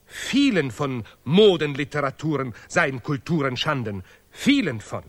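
A man's voice: a little high, very animated, measured pace, fairly bright tone, heavily acted. An actor playing a zealous, self-important male laureate, pious and bigoted, speaking emphatic broken grammar in an absurdist radio play.

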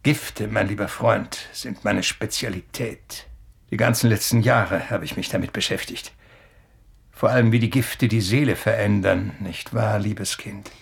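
Casting: elderly male professor, gravelly, courtly, deliberate and evasive, radio drama. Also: on the low side, exaggerated voice. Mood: evasive, musing. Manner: courtly, deliberate, slightly hesitant. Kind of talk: German radio play acting.

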